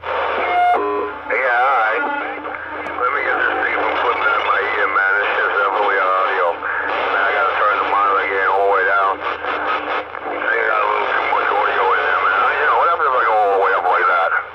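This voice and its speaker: A man's voice: lowish voice, wildly swooping pitch, normal speed. A man chatting casually as a CB radio operator. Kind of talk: casual chat. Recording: noisy, muffled, distant vehicle radio setup.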